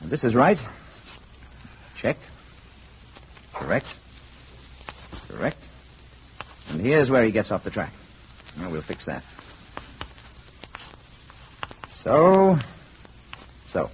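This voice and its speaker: An actor playing a calm, learned stranger from space posing as an ordinary man, speaking politely and with quiet assurance in 1950s radio drama style. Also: lowish voice, slow pace, long pauses, heavily acted. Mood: quietly confident. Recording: dull, noisy 1950s radio recording.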